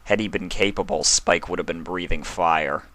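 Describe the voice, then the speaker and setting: A man's voice: sits low, normal speed, fairly bright tone. A man reading a story aloud as its narrator, in a scripted storytelling style. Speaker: narrator reading fan fiction.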